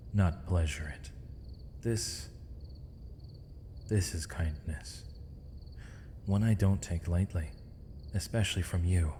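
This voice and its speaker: Man acting as deep, slow-spoken imprisoned mage. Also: warm tone, a little hiss or hum. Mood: tender, soft. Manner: measured, formal, poetic phrasing with thoughtful pauses. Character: gentle, weary, eloquent imprisoned male mage, misunderstood villain. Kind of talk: ASMR roleplay, intimate dramatic monologue. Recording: long reverb, faint night insect ambience.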